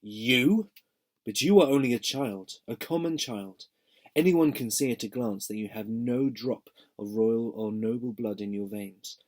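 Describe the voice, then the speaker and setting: A man's voice: mid-range voice, a little slow, darkish tone, natural voice. A man reading as a cold imperious queen. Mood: scornful. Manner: commanding, haughty. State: slightly hoarse from a sore throat.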